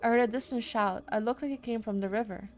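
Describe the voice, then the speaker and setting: A woman's voice: fairly high pitch, fast talker, a little hiss or hum. A woman game streamer reading a horror visual novel aloud, dramatically, with spontaneous asides. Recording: music behind the voice. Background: game music.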